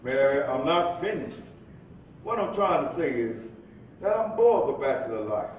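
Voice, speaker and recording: An actor playing an earnest, restless young bachelor in a staged play reading, with a pleading, theatrical manner. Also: male voice, sing-song, moderate pace. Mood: impatient, earnest. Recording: echoey hall from far off, faint rumble.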